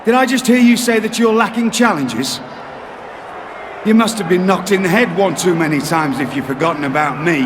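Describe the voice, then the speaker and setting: A man with a British accent playing a pompous, contemptuous villain wrestler in a video game, over clear background noise. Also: high voice, animated, normal speed. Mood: mocking, menacing. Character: haughty, sneering villainous British male wrestler.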